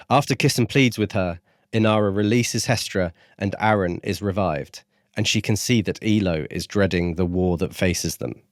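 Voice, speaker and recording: A man's voice: lowish voice, dry recording, studio quality. A man co-hosting a book review podcast, recapping and discussing a novel. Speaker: podcast co-host.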